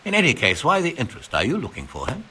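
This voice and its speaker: Game role: older male engraver, learned, sardonic, ornate phrasing, crisp forward tone, hugely varied melody. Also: mid-range voice, wildly swooping pitch, quick pace, sharp tone, slight background noise, full character voice. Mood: wry. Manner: verbose, dryly sarcastic, ornate phrasing. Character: wry, learned older master engraver, exasperated with apprentice. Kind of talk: video game dialogue acting.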